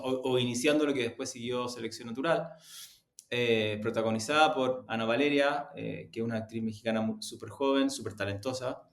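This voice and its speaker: A man with a Chilean accent giving spontaneous, reflective interview answers, often searching for words and rephrasing. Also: mid-range voice. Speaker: interview guest, podcast company executive. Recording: roomy, echoing sound.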